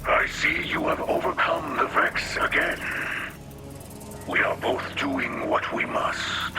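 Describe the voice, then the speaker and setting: An actor playing a solemn, weary but hopeful alien leader in a video game, with a breathy, rasping whisper and formal, halting phrases. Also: male voice, medium pitch, wildly swooping pitch, over music, full character voice, low-quality recording. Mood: reflective. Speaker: leader and mentor. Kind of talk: video game cutscene acting.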